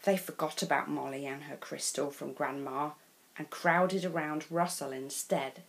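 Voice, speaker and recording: A woman's voice: low voice, vocal fry, a little hiss or hum. A woman from England with an English accent reading a children's picture book aloud as a storyteller. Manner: slow, expressive read-aloud.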